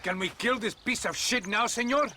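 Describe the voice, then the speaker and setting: An eager henchman in a video game. He speaks deferentially in a high voice. Noise is clearly audible behind him. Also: moderate pace. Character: eager, bloodthirsty Mexican rebel henchman. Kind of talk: video game cutscene acting.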